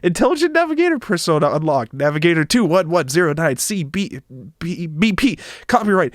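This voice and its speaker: A man's voice: fairly high pitch, big pitch swings, a little fast, no room sound, studio quality, voice pushed up. A man voicing a spaceship's formal, mechanical computer reciting system status in a clipped, procedural way. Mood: robotic, procedural.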